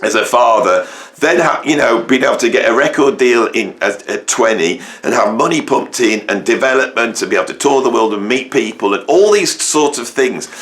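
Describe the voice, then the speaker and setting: A middle-aged man from England with a croaky voice, giving an opinionated monologue to camera, rambling and emphatic with many fillers and restarts. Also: mid-range voice, expressive, normal speed, fairly bright tone. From England, near Birmingham. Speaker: musician and educator, channel host.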